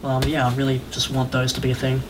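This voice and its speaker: A man's voice: mid-range voice, completely flat delivery, fast, a little hiss or hum. A man chatting casually as a podcast co-host, rambling with enthusiasm and some hesitation. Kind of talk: casual chat about games and films.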